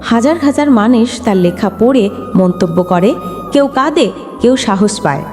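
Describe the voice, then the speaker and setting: A woman's voice: fairly high pitch, expressive, a little slow, a little mellow. Woman narrating in heavy reverb. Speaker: story narrator. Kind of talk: scripted emotional story narration. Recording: long reverb, music underneath. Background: background music.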